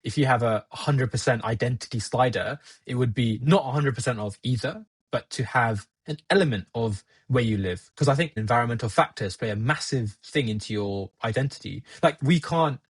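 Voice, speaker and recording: Young man, husky, reflective and wry. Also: mid-range voice, brisk, hardly any pauses, no echo. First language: Gujarati. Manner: reflective, laughs at himself, talks it through. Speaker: podcast guest. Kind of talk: casual conversation.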